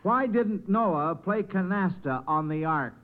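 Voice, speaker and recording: American guy hosting old radio quiz, gushy and encouraging, high voice, tinny narrow sound. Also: lively intonation, slow. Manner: effusive, encouraging. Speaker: quiz show host. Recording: thin, narrow vintage radio recording.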